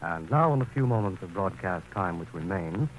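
Animated old-time radio announcer, male. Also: low-pitched, moderate pace. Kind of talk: 1940s broadcast announcing, reading cast credits. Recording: noisy old 1940s radio recording.

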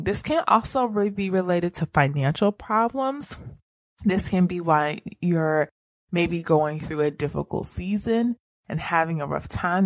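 A woman's voice: deep voice, dry recording. Earnest woman teaching about anxiety. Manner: earnest, repetitive phrasing, direct address. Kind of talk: teaching monologue.

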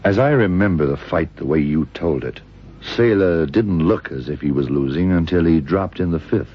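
An actor playing a shrewd, methodical detective in an old radio crime drama, gravelly and deliberate, musing as he questions. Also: sits low. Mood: working it out. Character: shrewd, methodical middle-aged American male detective. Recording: dull vintage radio drama recording.

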